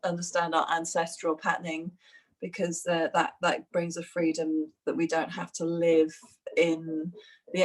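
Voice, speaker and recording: A woman's voice: sits low, rough recording. A woman, a fitness instructor, chatting reflectively in an interview with many fillers and restarts. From United Kingdom. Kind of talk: casual interview chat.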